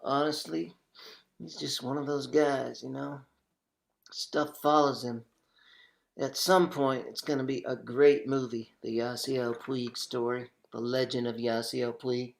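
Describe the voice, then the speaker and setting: A man hosting a casual stream, rambling in a halting way with many fillers and restarts. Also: mid-range voice, level tone, gravelly. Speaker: singer-songwriter hosting a home stream.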